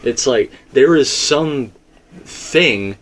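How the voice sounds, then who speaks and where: A man's voice: medium pitch, expressive, very slow, fairly bright tone. A man in a casual, rambling fan discussion, repeating himself for emphasis as he co-hosts. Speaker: co-host discussing video game theories.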